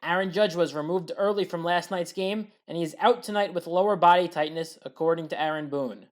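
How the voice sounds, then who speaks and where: A woman recapping baseball highlights in a voice-over as a home-team fan, reading steady play-by-play with wry asides. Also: brisk. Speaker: sports highlights commentator.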